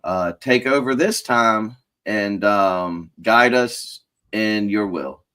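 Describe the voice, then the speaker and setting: A man's voice: lowish voice, takes it slowly, bright, forceful tone, clear, resonant tone. A man hosting a casual live chat and leading a prayer, talking in a chatty, informal, rambling way while sniffling a bit. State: sniffling, stuffy. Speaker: host of a business owners' live chat.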